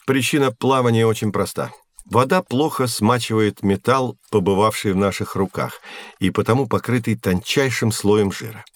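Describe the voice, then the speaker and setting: A man's voice: fairly low pitch, no room sound, lightly acted. Patient professor in a dry studio, acted by a man. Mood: explaining. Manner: measured, didactic explaining. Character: patient, genial male science professor demonstrating experiments. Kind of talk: scripted educational audio drama.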